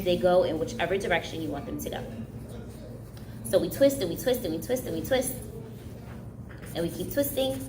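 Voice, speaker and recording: A woman's voice: mid-range voice, noisy background. Upbeat young woman in an echoey room. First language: Haitian Creole. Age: young adult. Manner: upbeat, chatty, laughs easily. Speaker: craft instructor. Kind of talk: casual craft tutorial. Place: indoor room, recreation center.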